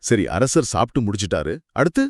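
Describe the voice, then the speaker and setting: A man's voice: fairly low pitch, big pitch swings, brisk, dry recording, studio quality. A man co-hosting a scripted conversation, inquisitive and reflective as he questions and sums up. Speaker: podcast co-host asking questions and summing up.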